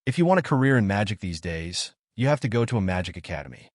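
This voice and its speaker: A man doing a brisk, matter-of-fact storybook man. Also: on the low side, very animated, no echo, studio quality.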